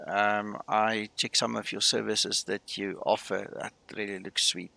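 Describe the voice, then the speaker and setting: Gravelly South African man, casual streamer. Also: on the low side, flat delivery, measured pace, sharp tone, dry recording. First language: Afrikaans. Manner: chatty, greets viewers, many fillers. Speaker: flight sim streamer. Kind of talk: casual live-stream chat.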